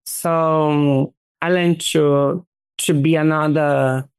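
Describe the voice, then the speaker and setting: Artist guest, woman, halting English, low and clear. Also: fairly even intonation, a little mellow, dry recording. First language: Portuguese. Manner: halting, searches for English words.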